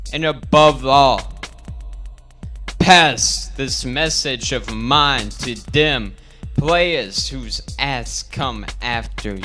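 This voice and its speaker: A man acts out an old pig revolutionary giving a storybook sermon in street slang. The delivery is very slow and declamatory, bright and forceful, with dramatic pauses. Music plays behind the voice. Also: on the high side, heavily acted. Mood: solemn. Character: old boar pig orator, rousing revolutionary farm leader. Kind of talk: theatrical audiobook reading in slangy parody speech.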